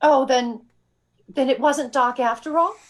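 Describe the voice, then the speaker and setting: A woman's voice: high voice, a little slow. A woman playing a polite, dramatic lady visitor in an old-time radio mystery, with a theatrical manner. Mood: flustered.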